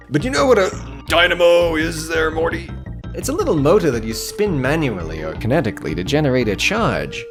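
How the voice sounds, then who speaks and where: A man delivering scripted, humorous video game commentary in a theatrical, sardonic way, full of flowery rhetorical questions. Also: fairly high pitch. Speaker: video essayist, narrator. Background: background music.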